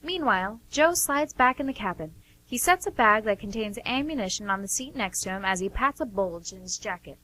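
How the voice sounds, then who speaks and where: A woman's voice: medium pitch, animated, a little fast, bright, forceful tone, poor audio. A woman narrator reading scripted scene descriptions for a radio drama.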